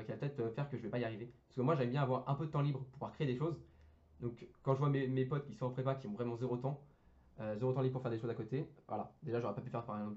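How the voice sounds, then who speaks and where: A young man talking quickly in loose, casual speech, tripping over fillers and restarting his sentences. His slangy chat comes through on a dull, lo-fi recording. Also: mid-range voice, darkish tone. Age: young adult. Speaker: engineering student guest.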